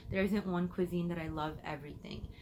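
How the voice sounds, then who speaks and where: A woman's voice: lowish voice, fairly even intonation, brisk, background noise. A woman from Illinois, a perfume vlogger answering viewer questions in a chatty way, thinking aloud as she goes, recorded on her phone. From United States, Illinois. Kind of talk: casual Q&A chat to camera.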